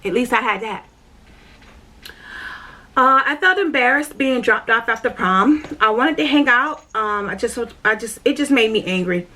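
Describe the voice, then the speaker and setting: A woman's voice: a little high, animated, moderate pace, bright, forceful tone. A woman vlogger telling her own life story in a candid, rambling way, full of fillers and repeats, talking straight to her viewers. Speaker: vlogger sharing her spiritual journey. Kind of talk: casual personal storytelling.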